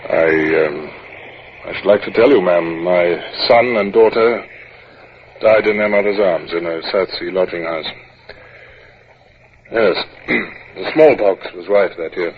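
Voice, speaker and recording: An actor playing a reserved, courteous middle-aged naval captain, formal and a little hesitant, in an old radio drama. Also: male voice, low voice, measured pace, pauses often. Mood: awkward, tender. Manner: formal, hesitant when speaking personally. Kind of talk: old-time radio drama acting and narration. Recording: muffled, noisy 1950s radio recording.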